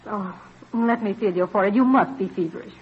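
A woman's voice: mid-range voice, brisk, natural voice. Woman acting skeptical colonial wife, muffled vintage radio. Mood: exasperated, skeptical. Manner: exasperated, incredulous questioning. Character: practical, skeptical but devoted colonial farm wife. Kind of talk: old-time radio drama acting. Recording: dull, faintly noisy vintage radio recording.

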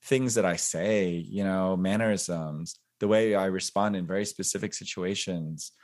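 Reflective man in his forties, low voice. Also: steady tone, unhurried, a little mellow. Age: 40s. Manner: reflective, thinks aloud with many fillers and restarts. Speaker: podcast guest. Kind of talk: casual interview chat.

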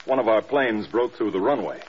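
An actor playing an exhausted, fiercely loyal American bomber group commander in a radio drama, blunt and defensive. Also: male voice, lowish voice, expressive, a little fast, husky. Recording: dull, noisy old radio recording.

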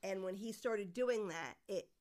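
A woman's voice: mid-range voice, a little slow, a little mellow. A middle-aged woman, a guest on a podcast, chatting casually and rambling through stories with lots of fillers. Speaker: guest, musician's mother.

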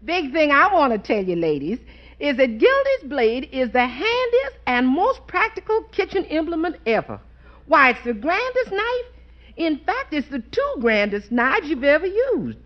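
A woman playing a folksy, chatty household cook in a 1940s radio show, on a dull old radio recording. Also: sits high.

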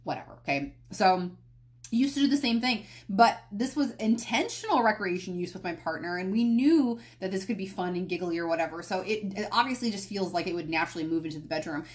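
A woman's voice: mid-range voice, fairly quick. A woman hosting a podcast on her own, talking candidly and rambling a little, often going off on tangents and correcting herself. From United States. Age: adult, past college. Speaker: podcast host. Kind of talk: casual solo podcast talk.

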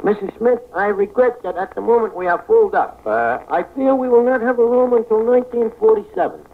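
A man's voice: high-pitched, expressive, fairly quick. An actor playing a pompous, blustering male tavern manager who mangles fancy phrases in 1940s radio comedy style. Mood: pleading bluster. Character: blustering, self-important male tavern manager, fawning to customers. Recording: tinny, very noisy old radio broadcast.

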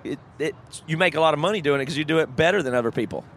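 Frank, thoughtful man with a higher voice. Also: racing, slight background noise. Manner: thoughtful and frank, with many restarts and an occasional curse. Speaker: podcast co-host. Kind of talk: casual conversational chat.

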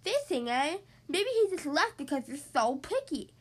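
A woman's voice: high-pitched, sing-song, normal speed. Woman putting on a big slurpy, whiny monster. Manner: blustering, whiny. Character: big scruffy slobbering boy monster, whiny, easily offended.

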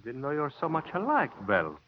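A man's voice: a little high, huge pitch swings, fast, slight background noise. An actor playing a menacing, mocking Greek-accented gunman in a 1940s radio crime drama, speaking broken English. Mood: gloating menace. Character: menacing Greek gunman, gloating shooting-gallery owner. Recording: dull lo-fi old radio recording.

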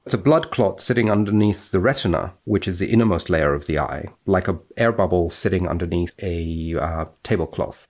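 Male scientist guest, low register, precise and measured when explaining or reading a paper aloud. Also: a little mellow.

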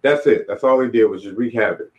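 A man's voice: lowish voice, fast talker, darkish tone. A man in his late forties giving an interview, telling his life story in a candid, reflective, plain-spoken way. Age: late 40s. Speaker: interview guest, author. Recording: dull, lo-fi audio.

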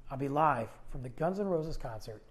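Husky-voiced man chatting in a car. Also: medium pitch, expressive, moderate pace. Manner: rambling, self-deprecating, chatty. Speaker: podcast host. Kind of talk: casual solo podcast chat. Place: parked car.

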